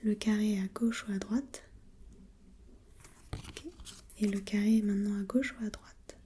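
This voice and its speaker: A woman whispering breathily in an ASMR role-play as an examiner, gently asking step-by-step questions and giving short encouragements. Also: mid-range voice, little pitch movement, unhurried, a little hiss or hum.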